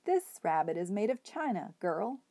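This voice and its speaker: A man's voice: sits high, sing-song. Woman voicing a courtly, bearded hobo. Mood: genial. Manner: courtly, gently formal, unhurried. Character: enormous bearded genial male hobo.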